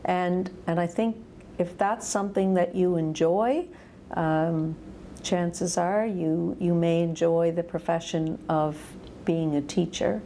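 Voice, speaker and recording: A woman in her sixties, a school administrator, answering interview questions in a measured, thoughtful way with lapel mic. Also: lowish voice, fairly even intonation, mellow, slight background noise. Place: school office indoors.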